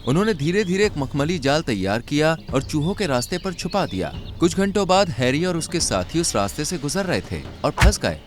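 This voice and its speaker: A man's voice: fairly high pitch, very animated, moderate pace, hardly any pauses. A man narrating a scripted children's story as its storyteller.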